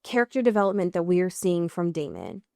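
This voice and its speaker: A woman's voice: fairly low pitch, wildly swooping pitch, quick pace, creaky, a little mellow, no room sound, studio quality. A woman co-hosting a podcast in a casual recap chat, informal and wry, with some swearing. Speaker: podcast co-host.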